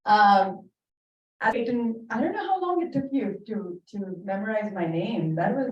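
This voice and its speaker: A woman's voice: medium pitch, lively intonation, measured pace, a little mellow. Woman, Indian accent, middle-aged, jokey presenter, echoey room, faint hiss. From Bahrain. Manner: chatty, jokey, self-deprecating. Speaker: dermatologist giving a talk. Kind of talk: friendly presentation with humor. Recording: distant mic, roomy, dull, faint noise.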